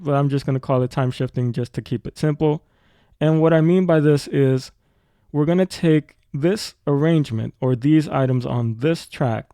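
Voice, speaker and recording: A man with a mellow, relaxed voice walks through a production tutorial in casual language. His pitch is average, and the sound is close and dry, with no room on it. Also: dry recording.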